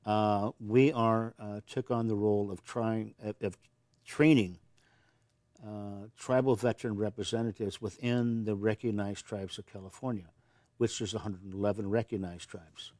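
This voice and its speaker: An older man from Montana talks in a lowish, steady voice. He answers with earnest conviction and often restarts with repeated words. The sound is close and dry, with no room echo. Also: normal speed. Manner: earnest, repeats words when starting sentences. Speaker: veterans service officer. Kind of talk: interview answers.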